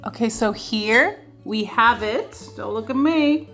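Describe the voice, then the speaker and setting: A woman's voice: on the high side, takes it slowly. A woman, chatting casually and playfully at home while filming a vlog. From Sweden. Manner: chatty, playful, laughs easily. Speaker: vlogger. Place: home kitchen and living room. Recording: echoey room with soft guitar music.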